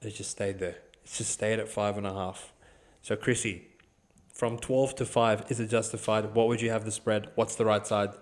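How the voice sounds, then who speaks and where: A man's voice: on the low side, steady tone, normal speed. A man with an Australian accent hosting a sports podcast, talking in casual, blunt, slangy banter with plenty of swearing.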